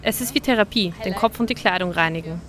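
A woman's voice: mid-range voice, fast, loud music behind the voice, lightly acted. A woman voicing a dubbed interview with a dreamy young laundromat customer, reflective and conversational.

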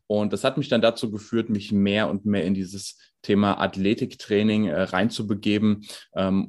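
A young man in his twenties with a lowish voice talks through his coaching career. He explains at a relaxed pace and fills his pauses with hesitations. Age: 20s. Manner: relaxed, explanatory, with many fillers. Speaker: strength and conditioning coach. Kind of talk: podcast interview.

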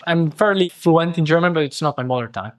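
A man's voice: on the high side, quick pace. A man in conversation who mostly listens, adding short acknowledgements and brief probing questions. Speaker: interviewer. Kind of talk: casual conversation.

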